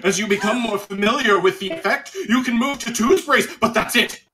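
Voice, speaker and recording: A man's voice: sits high, brisk. A man on a podcast, bantering casually and reading text aloud. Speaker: podcast reader.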